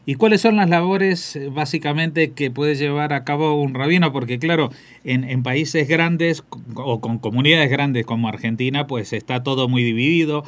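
A man's voice: a little high, moderate pace. A man hosting a radio program and interviewing a guest, conversational, with some hesitations. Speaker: radio host.

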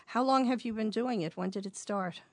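A woman's voice: on the high side, moderate pace, darkish tone. A woman interviewer asking short, direct questions.

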